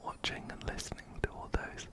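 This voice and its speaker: A man whispering softly and breathily, close up to a binaural microphone, gently repeating phrases as he gives soothing ASMR game commentary. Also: fairly quick. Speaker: ASMR host playing a video game.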